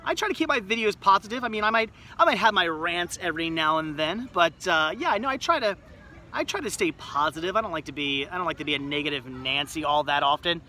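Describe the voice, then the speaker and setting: Bright-voiced chatty man in his thirties. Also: on the high side, lively intonation, fast, a little hiss or hum. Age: 30s. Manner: chatty, rambling, self-mocking. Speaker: livestream host. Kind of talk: casual livestream chat reading comments. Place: outdoors at a resort.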